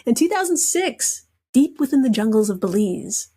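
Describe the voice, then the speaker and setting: High-voiced woman hosting a playful quiz. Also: sing-song, normal speed, warm tone, slightly rough. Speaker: podcast guest quizmaster. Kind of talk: comedic trivia quiz, reading questions with casual chat.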